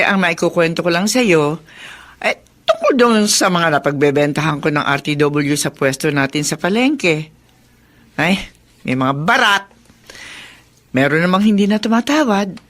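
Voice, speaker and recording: A woman's voice: lowish voice, big pitch swings, a little slow, a bit bright. An actress playing a chatty, knowing middle-aged widowed mother in a Filipino radio drama. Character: warm, teasing widowed mother, market clothes vendor.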